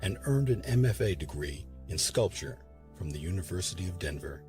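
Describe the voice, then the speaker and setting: A man's voice: low voice, animated, brisk, music in the background. A man reading scripted narration for a history documentary.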